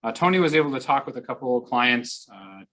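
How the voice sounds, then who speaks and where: A man gives a client services update on a conference call. His voice is medium in pitch, and he talks casually with a lightly wry touch. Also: expressive, fast, pushed and bright. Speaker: client services staff member. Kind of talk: informal work update on a call.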